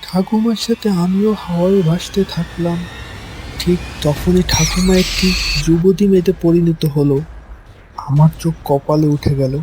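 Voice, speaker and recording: A man's voice: high-pitched, moderate pace, noisy background, lightly acted. A man voicing an anxious, bewildered young grandson who narrates and acts in a horror cartoon with dramatic, hesitant delivery. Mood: anxious narration. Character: frightened, bewildered young grandson telling his ghost story. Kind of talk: first-person horror cartoon narration and dialogue.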